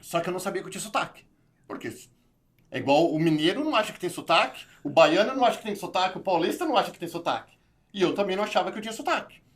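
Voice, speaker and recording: A man from Brazil with a São Paulo accent, a guest in an interview, telling his story in an animated, casual way with frequent restarts. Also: high-pitched. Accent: São Paulo Brazilian Portuguese. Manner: animated, talks in quick bursts with many restarts. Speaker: interview guest, YouTube channel creator.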